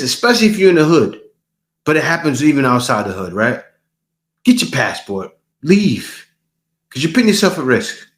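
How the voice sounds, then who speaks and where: A man hosting a casual reaction video, commenting on clips in an animated, incredulous way and repeating phrases for emphasis. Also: fairly high pitch, echoey room.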